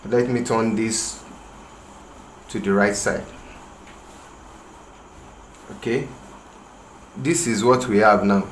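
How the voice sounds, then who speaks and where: Slow Nigerian man explaining tailoring. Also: fairly low pitch, fairly even intonation. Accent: Nigerian English, Yoruba. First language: Yoruba. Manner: slow, hesitant, explaining with pauses and restarts. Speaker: tailoring instructor. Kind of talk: tutorial demonstration. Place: room with a patterned rug, working on the floor. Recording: echoey room, faint ambient noise.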